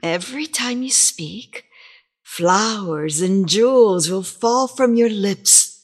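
A woman voicing a frail old woman who is secretly a fairy, speaking slowly, softly and breathily. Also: medium pitch. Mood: gentle.

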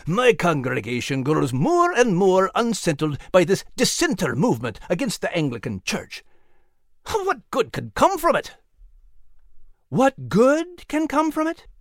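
امرأة تؤدي قسًا متغطرسًا ساخطًا بنبرة متقلبة. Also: female voice, fairly low pitch, huge pitch swings, unhurried, no echo, clean studio sound, exaggerated voice. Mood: alarmed, indignant. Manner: pompous, huffy. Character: indignant, pompous colonial Anglican clergyman uncle.

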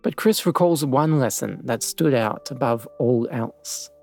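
A man's voice: medium pitch, expressive, a little slow, creaky, darkish tone, faint music behind. A man hosting and narrating a scripted documentary story. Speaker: podcast host and narrator.

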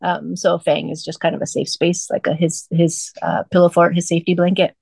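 A woman's voice: on the low side, level tone, a little fast, gravelly, darkish tone, slightly rough, dry recording. A woman co-hosting a casual fan chat, feeling her way toward the right words. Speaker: podcast co-host.

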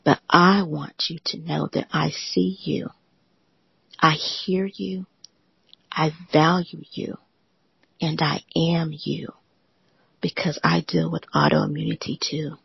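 A host of a live audio room giving a gentle, reassuring motivational talk in a soothing, often whispery voice. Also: medium pitch, level tone, slow pace, vocal fry, long pauses, slightly rough, no echo, lo-fi sound.

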